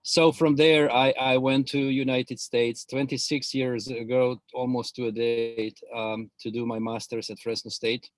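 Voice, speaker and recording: A man's voice: medium pitch, level tone, a little slow, hardly any pauses, no room sound. A middle-aged man from Croatia with a Croatian accent, talking as a guest expert on a video call, hesitant and full of filler words. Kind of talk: webinar interview, explaining his background.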